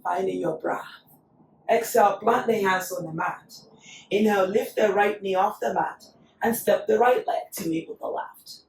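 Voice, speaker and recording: A woman teaching a yoga class, calmly cueing breath and poses, her voice still recovering after being lost. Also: mid-range voice, moderate pace, slight background noise. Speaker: yoga instructor. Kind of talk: guided yoga class instruction. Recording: echoey room, voice from a distance.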